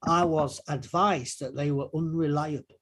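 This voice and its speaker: Male riverboat captain acted by a man, husky, higher-pitched, terse, guarded, evasive, courtroom drama read-through. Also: steady tone, normal speed, fairly bright tone. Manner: clipped, evasive, unyielding short answers.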